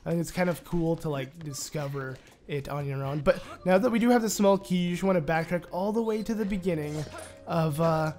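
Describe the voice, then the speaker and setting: A man with a hoarse, raspy voice from a sore throat, rambling casually through gameplay commentary with lots of fillers. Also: fairly high pitch, fairly quick, a little mellow, faint music behind. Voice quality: raspy, voice cracking. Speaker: Let's Play commentator.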